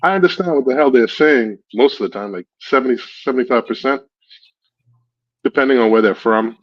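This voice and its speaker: A man with a Guyanese accent talks casually on a livestream, wandering through stories and breaking into laughter. His voice sits at a middle pitch, and the audio is dull and lo-fi. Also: fairly quick. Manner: laughs often, rambling storytelling. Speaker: streamer. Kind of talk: casual chat.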